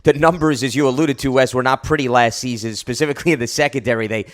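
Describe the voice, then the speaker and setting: A man's voice: medium pitch, fairly even intonation, fast. An American man hosting a sports interview, talking in a wordy, analytical, conversational way as he builds toward questions. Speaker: sports show host.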